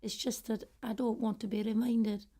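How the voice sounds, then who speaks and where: A woman's voice: on the high side, level tone, moderate pace, dark tone, close to an everyday voice. A woman acting a wistful, regretful middle-aged British woman in a confiding radio play monologue. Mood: wry, reflective. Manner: reflective, confiding storytelling. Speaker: actor in a student radio play. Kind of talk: first-person radio drama monologue.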